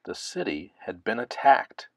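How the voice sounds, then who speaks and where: A man reading a children's picture book aloud, phrasing it deliberately and stressing key words. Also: on the low side, big pitch swings, no room sound. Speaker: storybook reader.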